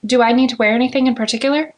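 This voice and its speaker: Audiobook heroine: narrator, female, playing guarded young woman, raised pitch, prim and pointed delivery, easily embarrassed. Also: steady tone, racing, natural voice. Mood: prim, self-conscious. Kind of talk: audiobook character dialogue.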